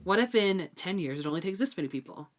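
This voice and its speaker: Female co-host, low register, casual and conversational, thinking aloud. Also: animated, fast. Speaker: book discussion podcast co-host. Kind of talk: casual book chat.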